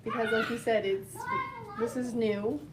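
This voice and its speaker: A woman in her twenties, soft-spoken and giggly, speaking as a guest on a panel about relationships. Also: on the high side, a little slow. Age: 20s. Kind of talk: casual panel talk. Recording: mic at a distance, faint noise.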